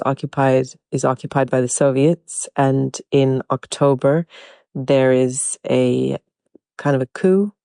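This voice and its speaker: A woman from Hungary, a native Hungarian speaker, explaining her role in an interview with a thoughtful, measured manner. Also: extremely low pitch, warm tone, dry recording, clean studio sound. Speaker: actor. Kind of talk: interview answers.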